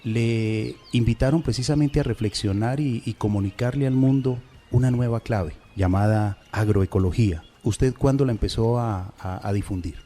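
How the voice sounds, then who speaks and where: A man's voice: fairly low pitch, moderate pace, warm tone. A man with a Colombian accent hosting a radio interview, with formal and somewhat flowery phrasing.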